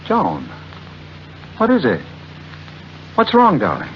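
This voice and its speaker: An actor playing a doting, proud husband with a hearty, theatrical manner, in 1940s radio drama. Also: male voice, mid-range voice, huge pitch swings, takes it slowly. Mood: concerned. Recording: muffled, hissy old radio recording.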